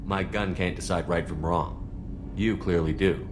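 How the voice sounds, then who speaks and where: Low-voiced earnest commander. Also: male voice, fairly even intonation, unhurried, noisy background. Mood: challenging. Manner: direct, questioning. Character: human military commander, earnest, probing, principled man. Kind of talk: video game dialogue acting.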